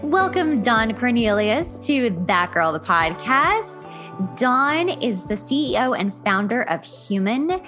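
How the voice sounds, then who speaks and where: A woman's voice: a little high, fairly bright tone. A woman hosting a podcast interview in an informal, effusive and emphatic conversational way. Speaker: podcast host.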